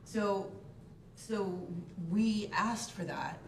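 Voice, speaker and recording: A woman councillor with a hoarse voice from a cold, speaking haltingly and repeating herself in a council meeting. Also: mid-range voice. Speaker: town councillor. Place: echoey council chamber. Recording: echoey room, distant mic, background noise.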